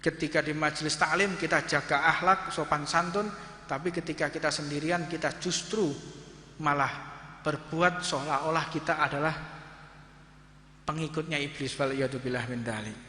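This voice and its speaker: A man in his thirties with a Javanese accent preaches with rising passion, stretching out Arabic phrases. His voice is fairly high and very sharp and forceful. It rings with long reverb through a mosque hall. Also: fairly even intonation, moderate pace, a little hiss or hum. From Indonesia. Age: 30s. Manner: rises to impassioned, fervent preaching, draws out Arabic phrases. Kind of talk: sermon.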